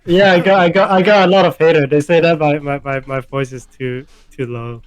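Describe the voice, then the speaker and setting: A man co-hosting a casual podcast chat, talking in halting bursts, repeating words and filling gaps with um and uh. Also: on the high side, steady tone, a crowd in the background. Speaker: podcast co-host.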